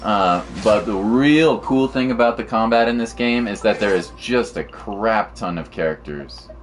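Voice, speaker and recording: A man's voice: lowish voice, unhurried, slight background noise. A man giving casual live commentary on a video game as a streamer, talking in an informal, rambling way. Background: game music.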